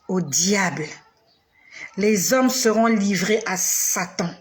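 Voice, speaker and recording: A woman in her forties preaching a sermon straight to the listener, with slow, emphatic repetition and dramatic pauses. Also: medium pitch. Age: 40s.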